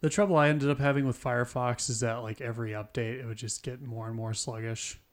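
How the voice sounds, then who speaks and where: A young man in his twenties chats loosely on a podcast. He rambles through his points, chuckling as he goes, in a voice of middling pitch. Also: fairly even intonation, quick pace. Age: 20s. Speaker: podcast co-host. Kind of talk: casual chat.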